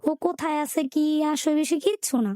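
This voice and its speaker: A woman with a Bangladeshi Bengali accent telling her life story in an interview, in a colloquial, halting way with repeated filler words. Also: sits high, warm tone, dry recording, studio quality. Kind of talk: personal storytelling in a radio interview.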